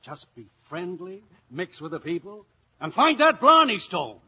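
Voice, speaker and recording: A man playing a brisk, exasperated, bossy insurance company chief in a 1950s radio play. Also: high-pitched, big pitch swings, pushed and bright, slightly rough, heavily acted. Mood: brisk, pressing. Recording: dull vintage radio broadcast.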